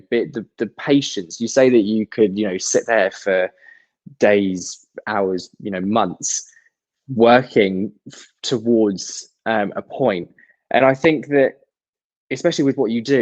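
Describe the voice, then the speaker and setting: A man's voice: fairly low pitch, a little mellow. A man interviewing a guest, asking long, rambling questions with many hesitations.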